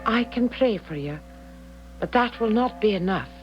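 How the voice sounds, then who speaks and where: A woman's voice: a little high, animated, moderate pace, muffled recording, natural voice. A woman playing a solemn, devout young Irish nun in a 1970s radio drama, speaking in a grave, prayerful way. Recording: band-limited 1970s radio broadcast with music.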